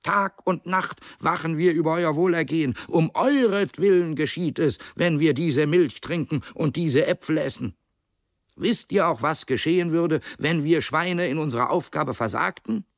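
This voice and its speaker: A man voicing a glib, excitable pig propagandist in an animal fable audiobook, oratorical, insistent and pleading. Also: a little high, normal speed, no room sound, clean studio sound, voice pushed up, close to an everyday voice. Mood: earnest, persuasive.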